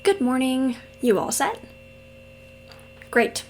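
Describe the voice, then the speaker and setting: A woman playing a friendly, patient mentor in a roleplay audio drama, speaking to the listener in a gentle, reassuring, casually instructive way. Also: high-pitched, expressive, slow, background noise. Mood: friendly, explaining. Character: friendly female mentor with powers, patient and encouraging trainer.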